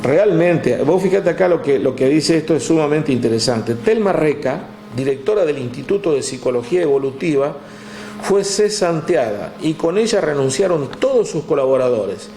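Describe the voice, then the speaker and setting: A man with an Argentine accent, telling history in a relaxed chat, with many "este" fillers, reading out figures from a text. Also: fairly high pitch, moderate pace, roomy sound, a little hiss or hum. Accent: Argentine Spanish. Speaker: guest storyteller. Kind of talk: conversational history talk.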